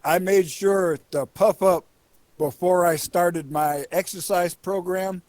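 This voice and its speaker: A man calmly explaining his own experience in a casual online group chat. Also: high-pitched, slow pace, no room sound. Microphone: online call.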